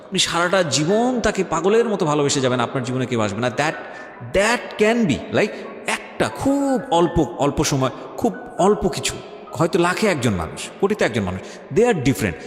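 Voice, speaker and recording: A man's voice: fairly high pitch, very animated, moderate pace. A man with a Bangladeshi accent hosting a radio talk show, insistent and emphatic, slipping English phrases into his advice. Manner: emphatic, insistent, argumentative, code-switches into English. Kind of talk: talk show interview, advising. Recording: echoey hall reverb, faint music. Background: faint background music.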